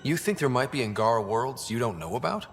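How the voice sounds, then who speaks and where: An actor playing an earnest, confident young human explorer in a video game, speaking politely and eager to help. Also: male voice, medium pitch, lively intonation, brisk, slight background noise, natural voice. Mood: determined. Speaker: pathfinder. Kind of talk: video game dramatic acting. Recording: long reverb, faint music behind.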